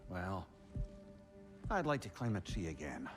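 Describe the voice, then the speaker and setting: A man's voice: low voice, very animated, measured pace, faint music behind. A man playing a wry, kindly, candid older companion in a video game, folksy in manner. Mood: wry, wistful. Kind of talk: dramatic video game acting.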